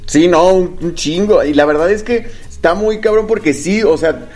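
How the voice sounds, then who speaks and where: A young man with a Guadalajara Mexican accent, telling stories in relaxed, slangy podcast chat. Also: high-pitched, moderate pace, soft background music.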